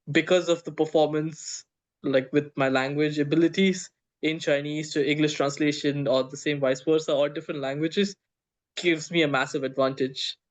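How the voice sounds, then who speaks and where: A man's voice: fairly high pitch, lo-fi sound. A young man from Saudi Arabia, a student on a panel, talking earnestly with fillers. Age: young adult. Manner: earnest, talks with fillers and restarts. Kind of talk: casual panel answer.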